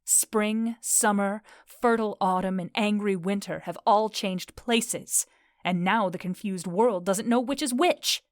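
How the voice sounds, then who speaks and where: A woman's voice: mid-range voice, unhurried, no echo, studio quality, close to an everyday voice. A woman playing a proud, defiant fairy queen in an audio drama, declaiming with dramatic indignation. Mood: aggrieved. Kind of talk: modern-English audio drama acting.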